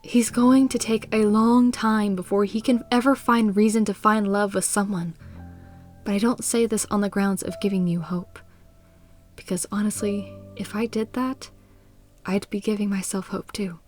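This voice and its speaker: A woman voicing a caring, loyal young woman in a visual novel, speaking with earnest, gentle candor. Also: medium pitch, fairly even intonation, normal speed, soft background music, close to an everyday voice. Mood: somber, earnest.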